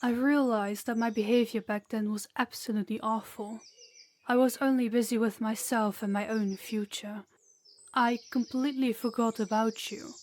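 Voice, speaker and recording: A woman playing a sincere, remorseful queen in a fantasy audio drama, speaking in a formal, measured way. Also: on the high side, a little mellow, slight background noise, natural voice. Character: earnest, remorseful queen of a kingdom, gracious and formal. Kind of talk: scripted fantasy audio roleplay.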